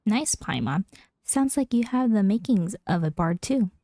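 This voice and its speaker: Casting: boyish young bard lad for a video game, mellow and dry, easygoing, reassuring. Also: female voice, medium pitch, expressive, a little slow, dry recording. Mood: encouraging. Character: breezy, encouraging, boyish young male bard.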